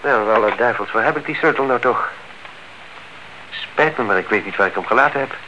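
An actor playing a courteous, evasive married neighbour in a Dutch radio play, smooth-talking and guarded. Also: male voice, mid-range voice, brisk, sharp tone, close to an everyday voice. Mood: casually evasive. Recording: dull, noisy old radio recording.

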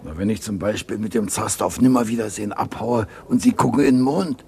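An actor playing a cocky small-time burglar in a radio crime drama, talking in cheeky streetwise slang. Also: male voice, fairly low pitch, a little fast, creaky, mellow.

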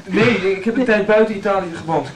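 Man playing polite young traveller, highish voice. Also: fairly quick, close to an everyday voice, low-quality recording. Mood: polite, hesitant. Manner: hesitant, courteous. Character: polite, modest young male traveller, doctor and musician. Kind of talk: radio drama acting. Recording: radio play with faint background noise.

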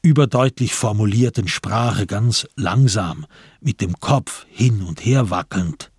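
A man narrating a literary radio drama in a measured way with dramatic emphasis. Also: lowish voice, darkish tone, breathy edge, no echo, clean studio sound.

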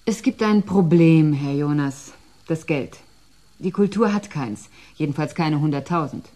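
A dry, stern government official in a sci-fi radio play. Her voice is on the low side and mellow. She stays formal, curt and businesslike. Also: animated, measured pace. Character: stern, dry female ministry official with academic title. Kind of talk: radio drama acting.

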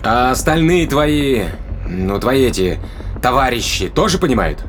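A man's voice: mid-range voice, big pitch swings, unhurried, noisy background. An actor playing a blunt, impatient male interrogator in an audio drama, probing and demanding in colloquial speech. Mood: probing, curious.

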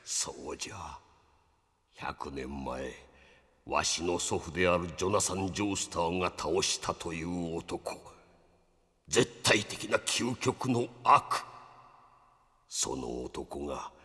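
A man's voice: low-pitched, expressive, takes it slowly, pauses often, pushed and bright, exaggerated voice. A man playing a grave old grandfather in an audio drama, speaking in solemn, old-fashioned phrases. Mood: grave, ominous. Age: older. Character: grave old grandfather explaining an ancient family evil. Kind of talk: dramatic radio-play acting. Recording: long cavernous reverb.

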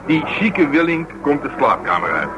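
An actor playing a burly, blunt, stubborn police detective in a radio play, talking gruffly and colloquially. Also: male voice, a little high, big pitch swings, moderate pace, lo-fi sound. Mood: insistent, earnest. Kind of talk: naturalistic radio play dialogue.

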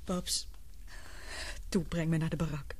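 A woman's voice: low voice, steady tone, measured pace, a little mellow, breathy edge. An actress playing a lovesick, anguished young woman in a Dutch radio drama, hesitant and earnest. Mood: distraught.

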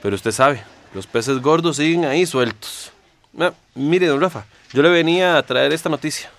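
A man's voice: fairly high pitch, moderate pace, a bit bright. A man playing a deferential police sergeant in a radio drama, apologetic and hedging as he reports to his boss.

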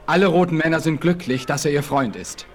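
Actor as a high-voiced, earnest young brave. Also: male voice, brisk, a faint crowd, close to an everyday voice. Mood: earnest respect. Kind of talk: 1960s German radio play acting. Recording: vintage vinyl radio play.

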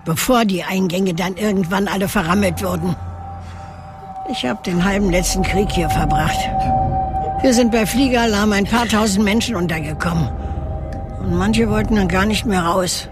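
An older actress playing a knowing, eccentric cave dweller, matter-of-fact and reminiscing, in a radio drama. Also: female voice, medium pitch, moderate pace, music in the background, natural voice. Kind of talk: naturalistic radio drama acting.

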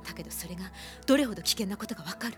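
An actress playing a resolute, composed former police profiler in an anime radio drama, explaining things in a measured, matter-of-fact way. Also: female voice, mid-range voice, a bit bright, faint music behind, natural voice. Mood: grave, explanatory. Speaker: voice actor.

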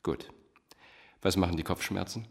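Deep, controlling doctor mastermind. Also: male voice, vocal fry, a little mellow. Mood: calm, commanding. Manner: commanding, measured, condescending. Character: controlling male doctor, cold manipulative cult-like mastermind. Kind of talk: German radio crime drama acting. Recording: music playing behind the voice.

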